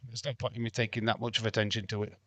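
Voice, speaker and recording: A man's voice: lowish voice, little pitch movement, racing, fairly bright tone, no echo. A man co-hosting a casual live stream podcast, chatty and greeting viewers, losing his voice. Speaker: podcast co-host.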